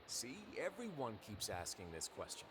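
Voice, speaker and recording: An actor playing a calm, wry duke in a video game, speaking in a measured, composed way. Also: male voice, mid-range voice, slightly rough, full character voice. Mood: wryly amused. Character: calm, wry male duke ruling an underwater prison fortress. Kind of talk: scripted video game dialogue and storytelling.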